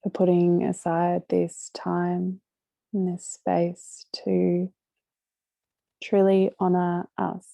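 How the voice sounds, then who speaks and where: A woman leading a guided meditation, speaking gently and unhurriedly with long pauses between short phrases. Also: lowish voice, completely flat delivery, creaky, no room sound. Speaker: meditation guide hosting a live session.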